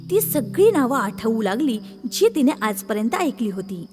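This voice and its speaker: Female children's narrator: high register, animated storytelling, prominent background music. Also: moderate pace.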